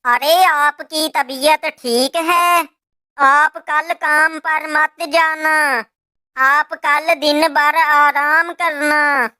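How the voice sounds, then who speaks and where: A worried, soft-hearted mother crow from a cartoon fable , played by a woman. Her voice is high and bright. She talks very slowly and dutifully, as a caring wife. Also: steady tone, no echo, studio quality, heavily acted. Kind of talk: Hindi cartoon moral story dubbing.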